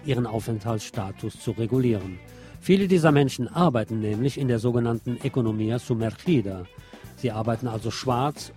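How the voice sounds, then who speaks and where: A man's voice: medium pitch, mellow. A man presenting scripted radio news with pointed commentary, recorded in a studio with music behind him.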